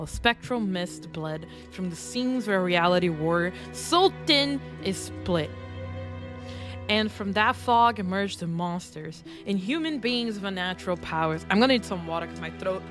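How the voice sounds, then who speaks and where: A woman's voice: medium pitch, a bit bright. Congested playful woman streamer, game music. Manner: playful and self-mocking, with frequent restarts. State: congested, throaty. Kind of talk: casual game stream commentary, reading game text aloud.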